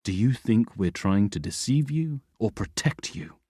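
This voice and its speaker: Stern, sarcastic dad as a male narrator voices him, lively but dark-toned, close and dry. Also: fairly low pitch, unhurried, husky, no room sound. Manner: dry, sarcastic, then softening. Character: guarded, blunt middle-aged British father.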